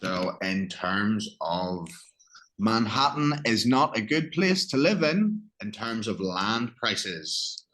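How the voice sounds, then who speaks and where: A man's voice: on the low side, big pitch swings, takes it slowly, fairly bright tone. A man with a Northern Irish accent teaching English one-on-one, explaining patiently with examples and questions. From Northern Ireland.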